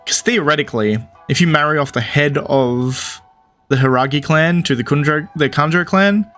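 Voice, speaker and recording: A man giving casual game commentary, reasoning through the story out loud as he plays. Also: on the high side, normal speed. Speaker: gamer commenting on a playthrough. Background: game music.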